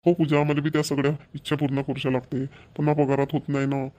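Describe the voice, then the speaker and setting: A man's voice: mid-range voice, level tone, fast talker, a little mellow, lightly acted. Man playing an agreeable young husband. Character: young husband, eager to please.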